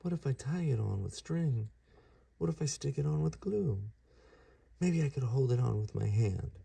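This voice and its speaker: A man putting on a playful, proud little boy god from a picture book, voiced noticeably higher than the reader's own low voice. The delivery is childlike and expressive, carried along with lively swings of pitch. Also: normal speed, creaky, breathy edge, full character voice. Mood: fretting.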